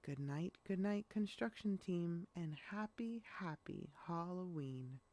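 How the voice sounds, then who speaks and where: A woman reading a rhyming children's picture book aloud in an expressive storytelling style. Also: lowish voice, slow pace.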